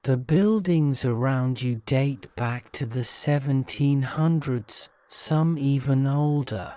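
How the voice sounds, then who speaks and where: A man narrating a guided-imagery sleep story in a soothing, measured manner. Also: mid-range voice, mellow, clean tone, no room sound.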